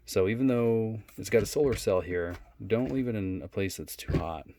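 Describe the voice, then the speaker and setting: A man giving a casual, unscripted product demonstration in a low-key, halting way. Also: animated, very noisy. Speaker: product reviewer.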